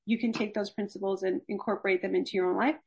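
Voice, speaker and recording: A young woman talks through healthy aging in an unhurried, earnest way, sometimes acting out passing diet fads. Her voice carries a gravelly fry and a husky grain. She sounds like a faculty expert chatting on a podcast. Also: medium pitch, fairly even intonation. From Los Angeles, USA. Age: young adult. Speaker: gerontology faculty guest. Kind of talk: conversational interview.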